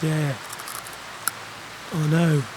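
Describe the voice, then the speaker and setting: An earnest British man, played by an actor in a radio comedy drama, hapless and a bit defensive. He seems oblivious as he talks, and clear rain and hiss run behind his voice. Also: fairly high pitch, level tone, extremely slow. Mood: earnest, sheepish. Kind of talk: naturalistic audio comedy drama.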